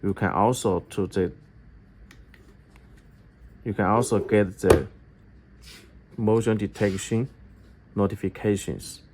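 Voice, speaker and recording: A man giving a step-by-step product tutorial in halting English, often repeating his phrases. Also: fairly low pitch, heavy background noise. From China. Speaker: product demonstrator for an electronics company.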